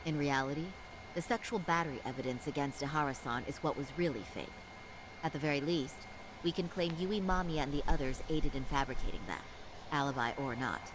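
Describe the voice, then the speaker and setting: A woman's voice: low voice, brisk, background noise, close to an everyday voice. An actress playing a resolute woman lawyer in a crime drama game, formal and precise in her delivery. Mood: composed. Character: composed, steely woman lawyer with quiet indignation. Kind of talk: dramatic English game voice acting.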